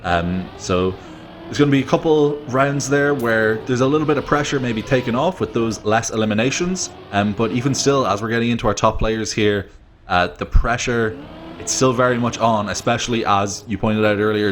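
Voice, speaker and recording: A man's voice: mid-range voice, normal speed, slight background noise. A man co-casting an esports stream with casual, wry banter and commentary. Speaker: esports co-caster.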